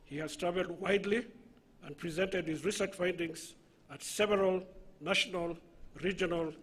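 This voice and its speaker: A man with a Somali accent reading a formal citation at a graduation ceremony, measured and deliberate, with asides to the audience. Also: high voice, fairly even intonation, husky. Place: large graduation ceremony venue.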